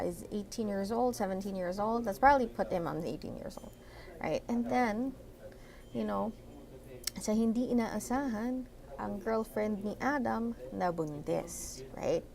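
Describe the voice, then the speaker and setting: A woman with a Filipino accent walks her live-stream viewers through a topic, switching between English and Tagalog. Her voice has a gravelly, fry-tinged edge, and she keeps pausing on fillers and a checking "right?". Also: medium pitch, darkish tone. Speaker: immigration consultant hosting a live Q&A. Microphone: computer setup at a desk.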